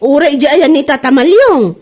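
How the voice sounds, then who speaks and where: A woman playing an aggrieved, quick-tempered seller in a comedy drama, with an Ilocano accent. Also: sits high, lively intonation, a little mellow. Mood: angry, aggrieved.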